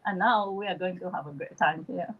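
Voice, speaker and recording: A woman's voice: fairly low pitch, fairly quick, husky, phone-quality sound. A woman with a Malaysian accent, a guest telling her story in casual chat on a video call and laughing often. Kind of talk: casual chat on a live stream.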